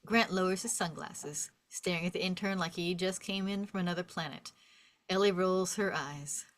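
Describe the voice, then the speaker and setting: Lowish-voiced woman narrating a script. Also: normal speed. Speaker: narrator reading stage directions. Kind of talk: scripted narration at an informal table read.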